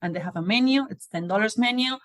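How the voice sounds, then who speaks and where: A woman from Spain with a Spanish accent, a podcast guest telling animated stories about food and cooking. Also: fairly high pitch, quick pace, no room sound, studio quality. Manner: animated storytelling with frequent "like" and laughs. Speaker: guest, olive oil business founder. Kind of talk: casual podcast conversation.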